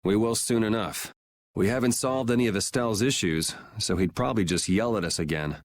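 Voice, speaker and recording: A man's voice: on the low side, brisk, close to an everyday voice. An actor playing a casual, cool-headed young swordsman in a game, with a wry, laid-back manner. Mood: curious, wry. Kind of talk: English game dub acting.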